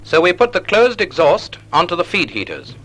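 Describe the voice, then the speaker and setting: A British man narrates an old naval training film from a script. His voice is bright and sits a little high, with animated, swooping intonation. He phrases everything in a measured, didactic way, as an instructor would. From United Kingdom.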